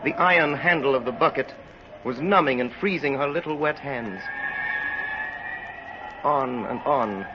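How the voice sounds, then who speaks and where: A man narrating a radio drama in a grave, emphatic 1930s style, in short measured phrases. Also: mid-range voice. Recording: noisy, muffled 1930s radio recording.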